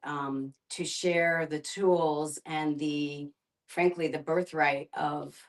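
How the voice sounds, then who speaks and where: A woman facilitating a group discussion, speaking conversationally and reflectively, often pausing to find her words. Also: sits low, fairly even intonation. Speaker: guest facilitator, end-of-life doula and filmmaker.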